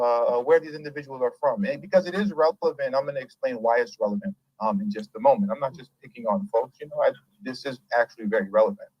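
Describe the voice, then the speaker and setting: Animated man hosting a commentary show. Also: medium pitch, dry recording, rough recording. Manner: animated, laughs often, emphatic and digressive. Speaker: host. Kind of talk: casual political commentary show.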